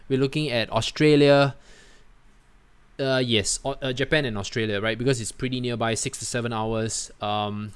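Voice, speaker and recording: Lively man chatting on a livestream. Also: mid-range voice, clean tone. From Singapore. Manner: chatty, animated, peppers talk with "man", "guys" and "right?". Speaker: livestream host. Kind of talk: casual livestream chat, answering viewer comments.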